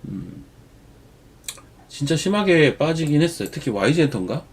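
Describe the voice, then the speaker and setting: A man's voice: fairly high pitch, big pitch swings, moderate pace, vocal fry, slightly rough. A man with a cold giving chatty, rambling stock market commentary as the show's regular commentator. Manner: chatty, digresses into personal stories. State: has a cold. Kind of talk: casual market commentary on a live stream.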